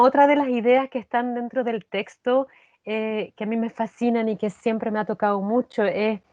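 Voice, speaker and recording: A woman's voice: on the high side, a little mellow, no room sound. A woman with a Chilean accent speaking reflectively as an interview guest, repeating phrases as she thinks aloud. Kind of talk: conversational interview. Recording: dull lo-fi sound.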